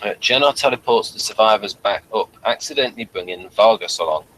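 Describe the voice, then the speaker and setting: A man hosting an informal review show, speaking in a halting, digressive way and often correcting himself. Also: on the low side, expressive, a bit bright, a little hiss or hum, low-quality recording. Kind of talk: informal review chat, reading out a summary.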